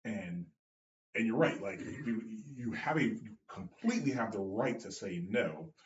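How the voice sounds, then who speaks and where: Casting: blunt, cussing male host with a low voice, recorded in an echoey room. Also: very animated, unhurried. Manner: blunt, swears, acts out quotes. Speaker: podcast host, jiu-jitsu instructor. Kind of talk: casual chat. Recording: roomy, slightly dull sound.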